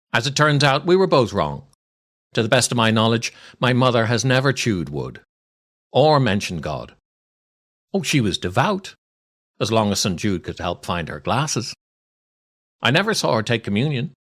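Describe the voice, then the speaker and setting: A man, reading a scripted comic monologue in a wry, theatrical way with deliberate pauses. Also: mid-range voice, fairly bright tone. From Ireland. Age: 60s. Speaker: podcast host and storyteller.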